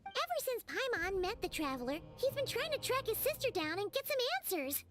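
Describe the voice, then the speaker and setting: A woman voicing a tiny, childlike, chirpy fairy companion in an anime game, with a squeaky, perky delivery. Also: very animated, a little fast, a bit bright, heavily acted. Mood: matter-of-fact. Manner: refers to self in third person, perky.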